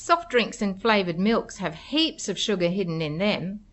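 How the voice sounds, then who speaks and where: Woman, mid-pitched voice, scripted preschool narration, simple instructive phrasing. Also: big pitch swings, moderate pace, very noisy. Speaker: narrator of children's educational material.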